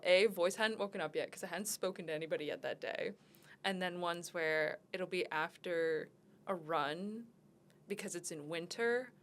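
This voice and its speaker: A woman's voice: lowish voice, a little hiss or hum. A young woman co-hosting a podcast in casual chat, giggly and self-deprecating. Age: young adult. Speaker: podcast co-host.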